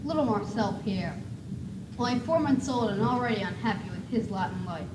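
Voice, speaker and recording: A woman's voice: mid-range voice, moderate pace, lightly acted. A woman playing a solemn, mysterious narrator of an eerie tale, in mock-ominous scripted style. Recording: echoey, distant, noisy 1980s videotape.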